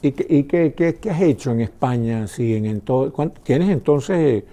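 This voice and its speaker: A male television interviewer with a very dark, mellow voice at a middle pitch. He talks in an easy, curious way and often adds tag questions to draw his guest out. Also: lively intonation, normal speed. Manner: inquisitive, conversational, prompting with tag questions.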